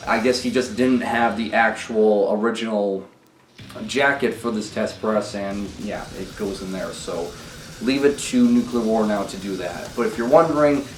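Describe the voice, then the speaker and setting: Young man, sick with a sore throat, laid-back rambling record talk; low voice, distant roomy camera audio. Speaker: vinyl collection vlogger. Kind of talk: casual record-collection talk. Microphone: camera mic. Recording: roomy, distant camera mic, faint noise.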